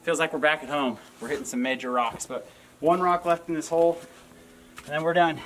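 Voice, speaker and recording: Relaxed, folksy man in his 30s, talking to a handheld camera outdoors, faint noise behind. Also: fairly high pitch, fairly quick, rough recording. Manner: laid-back and folksy. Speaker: homesteading vlogger. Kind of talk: casual on-camera narration. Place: outdoors at a forest homestead. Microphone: camera held at arm's length.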